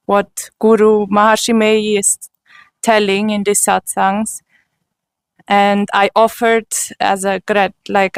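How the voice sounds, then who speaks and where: A woman with a German accent speaks slowly and earnestly, often pausing to find her words. Her voice is smooth and clear, recorded dry and close with no room sound. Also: medium pitch, no echo. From Switzerland. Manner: slow and earnest, with frequent pauses and restarts. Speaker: interviewee. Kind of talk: personal testimony.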